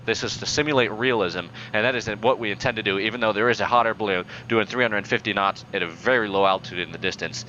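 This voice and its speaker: A young man playing a pilot in a flight game, using formal radio phrasing in a deadpan, increasingly exasperated way over a headset. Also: fairly low pitch, fast talker, few pauses, lo-fi sound, slight background noise. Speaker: pilot player in a flight sim. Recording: game audio in background.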